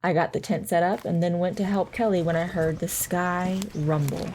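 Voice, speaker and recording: A woman's voice: on the low side, steady tone, normal speed, very noisy, natural voice. A woman playing a flustered, self-doubting mother who narrates and talks in an audio drama. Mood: reflective narration.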